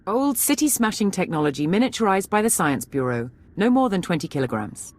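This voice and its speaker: An actress playing a brisk, authoritative military major giving briefings in a video game. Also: female voice, mid-range voice, sing-song, darkish tone. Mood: resolute. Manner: crisp military briefing delivery.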